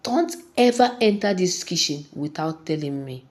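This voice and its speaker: A woman's voice: lowish voice, animated, moderate pace, darkish tone, lightly acted. Woman playing a bullying teenage uncle, threatening. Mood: angry scolding. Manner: threatening, commanding. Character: menacing, bullying seventeen-year-old uncle.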